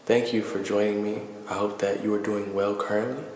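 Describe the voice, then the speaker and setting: A man leading a guided meditation in a calm, deliberate, soothing manner, pausing between measured phrases. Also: lowish voice. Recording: echoey reverberant voice with faint noise.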